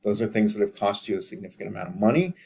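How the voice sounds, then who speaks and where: A man's voice: on the low side, lively intonation, rapid-fire, creaky, darkish tone, husky. A man in his thirties lecturing a class in a casual, direct way, often checking in with "okay?". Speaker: accounting lecturer.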